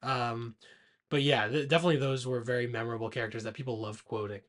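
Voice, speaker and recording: A man's voice: mid-range voice, fairly even intonation, quick pace, fairly bright tone. A man co-hosting a podcast, chatting casually about films and repeating his points for emphasis. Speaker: podcast co-host. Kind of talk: casual movie chat.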